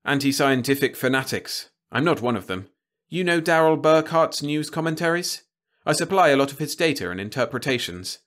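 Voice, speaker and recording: A man voicing an earnest male newsman, higher-pitched, urgent, insistent, storybook reading. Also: lively intonation, fairly quick, a bit bright. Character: earnest determined male news operator.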